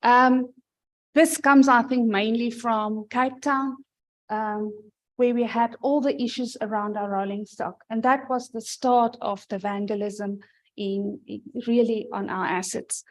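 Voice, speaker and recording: A middle-aged woman from South Africa giving a conference presentation in a loud, emphatic voice. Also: fairly high pitch, steady tone, unhurried, poor audio.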